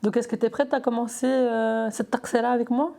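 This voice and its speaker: A woman's voice: on the high side, fairly even intonation, brisk, creaky, loud music behind the voice. A young adult woman hosting a casual chat, asking short informal questions and echoing the answers. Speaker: host, interviewer.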